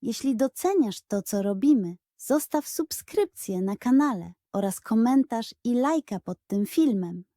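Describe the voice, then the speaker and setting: A woman reading a scripted bedtime story for children in an expressive, careful storytelling manner. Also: a little high, measured pace, hardly any pauses, no echo, clean studio sound. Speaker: audiobook narrator.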